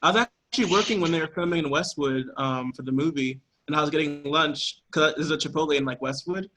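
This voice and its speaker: Fast-talking man with a higher voice. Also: pushed and bright, poor audio. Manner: peppers speech with "like". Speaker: podcast cast member. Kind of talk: casual anecdote and chat.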